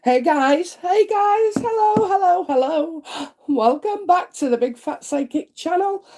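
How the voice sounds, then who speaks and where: A woman's voice: very high voice, expressive, unhurried, a little mellow. A woman with a congested head cold, chatting on a live stream as a psychic reader and answering viewer comments in a homely way. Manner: chatty and homely, many "uh" fillers, asks "isn't it?".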